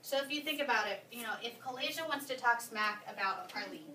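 A young woman teaching a history class, moving quickly through questions and prompting her students. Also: fairly high pitch, animated, bright, forceful tone. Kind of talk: classroom lesson with discussion. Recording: echoey classroom, distant mic, noisy.

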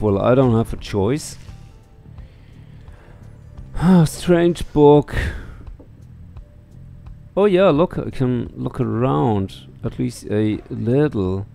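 A middle-aged man streams a game with slow, hesitant commentary. He reads on-screen text aloud in a bright-edged voice, with faint music behind him. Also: medium pitch, gravelly. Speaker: let's play streamer. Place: indoor room.

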